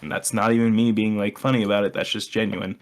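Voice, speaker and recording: A man's voice: on the low side, level tone, quick pace. A young man chatting casually as a podcast co-host, explaining his opinions in a sardonic way and swearing freely.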